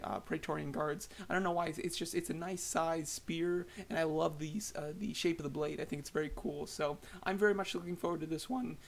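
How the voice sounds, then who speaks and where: A slightly hoarse man giving casual, rambling voice-over thoughts on collectible figures, with many fillers and restarts. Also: fairly high pitch. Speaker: toy collector vlogger.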